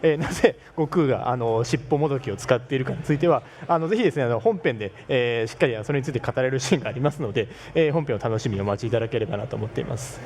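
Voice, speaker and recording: A man's voice: mid-range voice, animated, racing, hardly any pauses, a little mellow, slight background noise. A man answering an interview question politely, with many hesitant fillers. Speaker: game developer.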